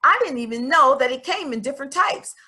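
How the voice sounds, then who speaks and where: A woman reads as an outspoken young schoolgirl in a storybook. The voice is bright and forward, with lively swings in pitch. She states her mind in a folksy, emphatic way, earnest and a bit stubborn. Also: a little fast. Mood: earnest, questioning. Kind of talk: expressive storybook read-aloud in first person.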